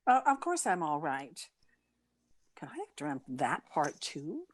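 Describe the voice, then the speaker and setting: An actress playing a wry, flustered schoolteacher woman in an old-time radio comedy, halting and theatrical. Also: low-pitched, very animated, heavily acted. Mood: bewildered, insistent.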